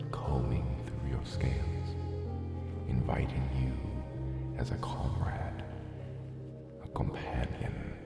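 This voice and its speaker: A man voicing a gentle, proud dragon father in an ASMR roleplay, tenderly encouraging his hatchling in a gravelly, often breathy and whispered voice. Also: a bass voice, exaggerated voice. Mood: soothing, guiding. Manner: slow, tender, encouraging, softly playful.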